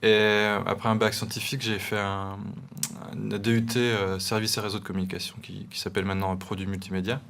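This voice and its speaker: Guest designer: young man, eastern French accent, lowish voice, hesitant explainer, faint room hum. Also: level tone, a little fast, creaky, a bit bright. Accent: eastern French, Montbéliard area. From eastern France. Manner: hesitant, many restarts and fillers. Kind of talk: studio interview.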